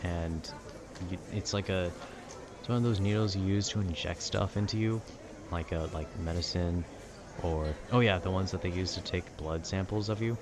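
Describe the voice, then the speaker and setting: A man's voice: low voice, normal speed, background noise. A man chatting casually in a laid-back, meandering, slangy way, as if rambling to a friend about video games. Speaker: audio roleplay creator.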